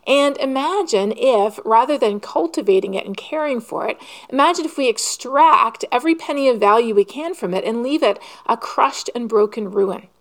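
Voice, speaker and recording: A woman preaching a sermon in an earnest, measured way, posing rhetorical questions. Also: fairly high pitch, lively intonation.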